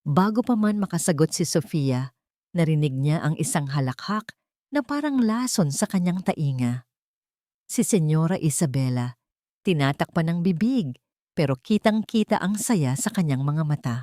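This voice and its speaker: Low-voiced woman narrating a dramatic story. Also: animated, normal speed, dark tone, dry recording, clean studio sound.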